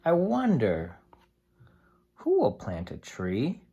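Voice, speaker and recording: Slow, animated read-aloud voice for children, slightly husky. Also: mid-range voice, highs cut off. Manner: explains simply to children, expressive storytelling. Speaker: teacher reading aloud to young children. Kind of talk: children's picture book read-aloud with asides.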